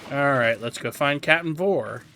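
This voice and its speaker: Bright-voiced man doing game commentary. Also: mid-range voice, normal speed, slight background noise. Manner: explanatory, thinking aloud. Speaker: gameplay guide host. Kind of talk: casual game walkthrough commentary.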